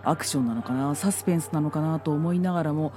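A man giving a polite, measured promotional testimonial. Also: on the high side, warm tone, soft background music.

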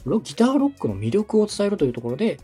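A man's voice: high-pitched, sing-song, very fast, dark tone, slightly rough, soft background music. A man from Osaka hosting a podcast consultation, giving polite, methodical, structured advice and explanations. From Osaka, Japan. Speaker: host, podcast producer coaching a client.

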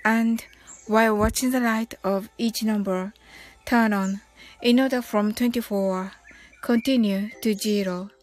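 A woman's voice: medium pitch, steady tone, slow pace. A woman hosting an online live stream, speaking in an informal, personal style as she addresses her listeners. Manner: chatty, reading out listener comments. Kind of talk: casual live stream chat and bilingual guided meditation.

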